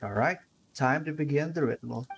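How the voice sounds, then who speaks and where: A woman giving wry, relaxed gameplay commentary and reading dialogue choices aloud. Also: sits low, takes it slowly, gravelly, slight background noise. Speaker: gamer, video creator. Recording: game music behind voice.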